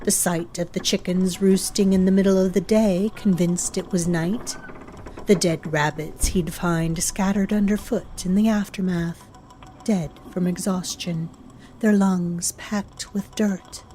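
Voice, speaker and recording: A woman reading a scripted horror story as narrator, in a measured, ominous way. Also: lowish voice, steady tone, dark tone, slight background noise.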